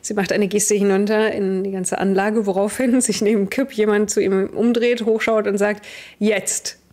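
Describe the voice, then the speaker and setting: A woman's voice: mid-range voice, little pitch movement, moderate pace, mellow. A young woman narrating a tabletop role-playing game as its game master, recorded indoors over an online call.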